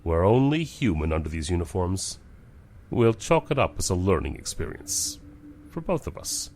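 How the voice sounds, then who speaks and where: A man's voice: sits low, very animated, normal speed, a little mellow, a little hiss or hum. A man playing a humane, commanding but weary starship captain in a science fiction audio drama. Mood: weary, regretful.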